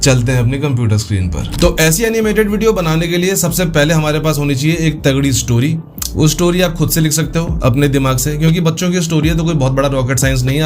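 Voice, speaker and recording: A man explains things casually, step by step, as a tutorial host. His pitch is average and faint music sits behind him. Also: a little mellow. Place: voice-over for a computer screen recording.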